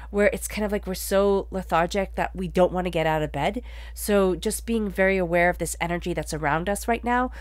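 A woman's voice: mid-range voice, no room sound. A woman with a congested voice gives a conversational spiritual tarot reading, earnestly repeating and rephrasing for emphasis. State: congested from allergies. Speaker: tarot reader.

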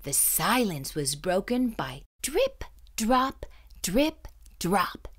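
A woman's voice: medium pitch, big pitch swings, drawn-out words, husky. A woman reading a children's story aloud in a suspenseful, theatrical and emphatic way. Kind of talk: dramatic read-aloud narration.

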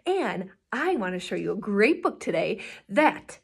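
A woman in her thirties talking to young children as a story time host, with an animated, encouraging and very expressive manner. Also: medium pitch, measured pace, gravelly, breathy edge. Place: small indoor room, classroom-like.

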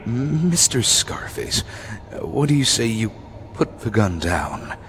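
A man voicing a nervous, apologetic older ventriloquist, stammering and pleading in a raised, husky voice. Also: mid-range voice, very animated, slow pace, fairly bright tone, slight background noise. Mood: anxious, pleading.

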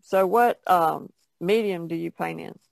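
A woman who paints, chatting casually in a halting, thoughtful way. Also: lowish voice, vocal fry, darkish tone, no room sound. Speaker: painter being interviewed. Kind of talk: casual chat.

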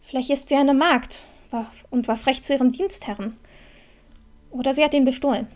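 A woman playing a practical, caring young village adventurer in an audio drama, speaking in a calm, matter-of-fact way. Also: high voice, moderate pace, natural voice. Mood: calm, concerned.

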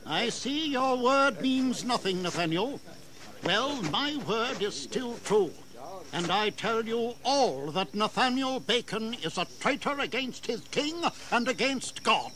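An actor playing a proud, defiant old colonial governor in a historical audio drama, with formal, declamatory delivery. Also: male voice, very high voice, lively intonation, slow, sharp tone, exaggerated voice, rough recording. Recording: audible background noise and ambience.

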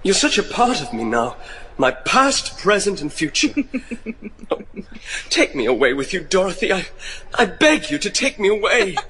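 An actor playing a tormented, obsessed young man in love in a gothic radio play, halting and pleading. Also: sits high, sing-song, sharp tone, slight background noise, heavily acted. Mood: pleading, desperate. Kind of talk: gothic radio drama acting.

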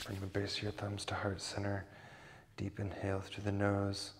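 A man leading a guided meditation as a yoga instructor, giving hushed, unhurried cues. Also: on the low side, completely flat delivery, noisy background. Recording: long hall reverb. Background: music.

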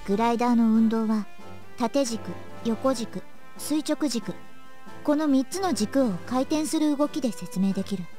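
A woman's voice: on the high side, a little fast, warm tone, natural voice. A woman plays a composed senior schoolgirl in an anime game. The delivery is matter-of-fact and instructive, with faint music underneath. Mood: calm, instructive. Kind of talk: Japanese visual novel voice acting.

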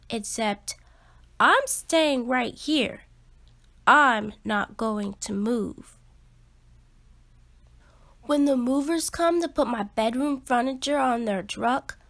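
A woman reading a children's picture book aloud expressively, with emphatic, deliberate phrasing. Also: high-pitched, fairly bright tone. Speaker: read-aloud storyteller.

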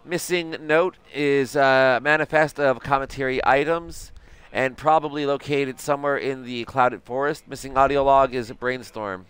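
Clear-voiced man, casual game streamer. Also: medium pitch, fairly even intonation, a bit bright, no echo. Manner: halting, thinking aloud. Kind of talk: casual livestream commentary, reading notes aloud.

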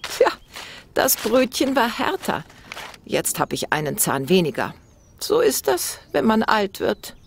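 A woman's voice: mid-range voice, big pitch swings, a little slow, vocal fry, husky, pitched above the performer's own voice, exaggerated voice. A woman voicing a cheerful, doting grandmother in her seventies, playful and affectionate, in a children's audio story. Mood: amused resignation.

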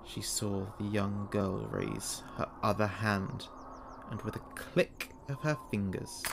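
A man narrating a scripted horror story in a measured, dramatic way. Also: fairly low pitch, creaky, background noise.

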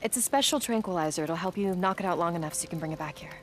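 A woman in her thirties with an American accent, playing a driven army researcher giving brisk instructions in a video game. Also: lowish voice, lightly acted. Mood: focused, explaining. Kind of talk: naturalistic video game acting.

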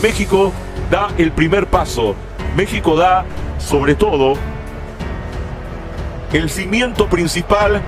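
Man giving measured football analysis. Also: a little high. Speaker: sports pundit. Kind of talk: broadcast commentary. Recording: music under the voice.

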